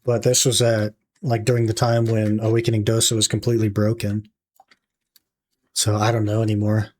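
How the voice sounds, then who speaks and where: A young man with a raspy voice, casually chatting to his stream audience while he plays. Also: on the low side, normal speed. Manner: relaxed, musing aloud while playing, talking to chat. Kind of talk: casual gaming livestream chat.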